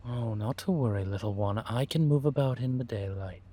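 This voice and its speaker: A man playing a sly, scheming vampire spy in an audio roleplay, husky and theatrical, savoring his words in drawn-out musings. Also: mid-range voice, mellow, natural voice. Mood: watchful, scheming. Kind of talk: scripted audio roleplay monologue addressed to a companion.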